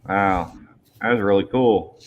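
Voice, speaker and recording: A man hosting a casual live chat about model building, relaxed and joking. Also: fairly low pitch, slow, a little hiss or hum. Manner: laid-back, joking. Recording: dull lo-fi livestream audio.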